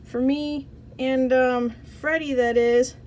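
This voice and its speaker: A woman's voice: high-pitched, fairly even intonation, slow pace, darkish tone, a little hiss or hum, voice pushed up. A woman voicing a shy, worried schoolboy in a children's storybook, in a hesitant way. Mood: hopeful. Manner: hesitant, self-doubting.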